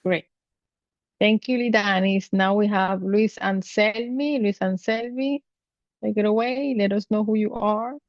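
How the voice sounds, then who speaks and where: Woman panel host, mid-pitched voice, dry muffled call audio, introduces speakers. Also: fairly even intonation, a little slow, dry recording. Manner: friendly, introduces speakers in turn. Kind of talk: online panel moderation.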